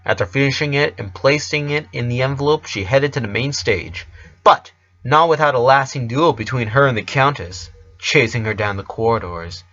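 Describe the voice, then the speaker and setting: A man narrating a scripted story as its reader, deliberately, in short separated phrases. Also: mid-range voice, fairly bright tone, lo-fi sound.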